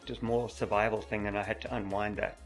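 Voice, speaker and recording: Soft-spoken guy who stutters a lot, chatting on a podcast, middle-aged. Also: on the low side, flat delivery, brisk, soft background music. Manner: stutters, repeats words, soft and gentle. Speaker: podcast guest, mountain biker. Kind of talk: casual interview chat.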